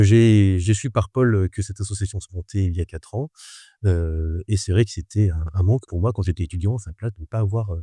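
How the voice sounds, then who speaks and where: A man in his thirties with a low, very mellow voice talks about wine in a casual podcast. He speaks in a measured, hesitant way and pauses often on fillers. The sound is close and dry. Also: no room sound. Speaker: wine instructor.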